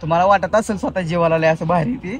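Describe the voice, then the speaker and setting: High-voiced young man, street noise. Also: fast. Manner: insistent, repetitive, mock-polite. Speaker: prank caller and video host. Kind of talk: prank phone call, casual confrontational chat.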